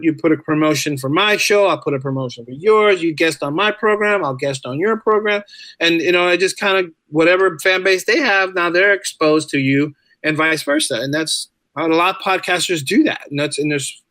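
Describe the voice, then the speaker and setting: A man's voice: fairly high pitch, moderate pace, sharp tone, no echo. Talkative man in a dry, close recording. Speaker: podcast guest, writer and musician. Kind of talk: casual conversational interview.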